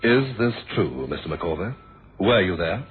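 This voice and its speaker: An actor playing a dogged police inspector in a radio mystery, questioning coolly and formally with pointed pauses. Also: male voice, low-pitched, sing-song. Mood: pointed, accusing. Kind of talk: 1970s radio mystery drama acting. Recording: muffled, noisy old radio recording.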